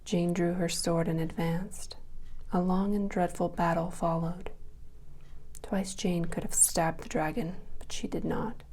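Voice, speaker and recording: Whispering woman reading a bedtime story, mellow. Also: fairly low pitch, fairly even intonation, unhurried. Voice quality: soft, breathy whisper.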